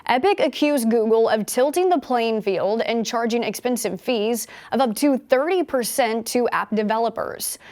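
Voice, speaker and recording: An American woman working as a news anchor, reading scripted news in a measured, formal newsreader delivery. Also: a little high, animated, darkish tone.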